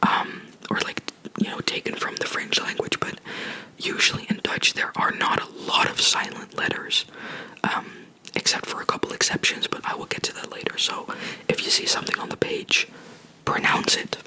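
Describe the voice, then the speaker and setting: A person giving a whispered ASMR language lesson, explaining in a soft, rambling, chatty way close to the microphone. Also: moderate pace, low-quality recording. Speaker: language teacher.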